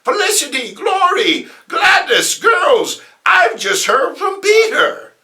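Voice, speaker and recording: A man's voice: very high-pitched, sing-song, takes it slowly, pushed and bright, pitched above the performer's own voice, exaggerated voice. A man voicing a worried, indignant, sweet old aunt in a theatrical comic-book reading. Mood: excited. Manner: exclamatory, flustered, theatrical.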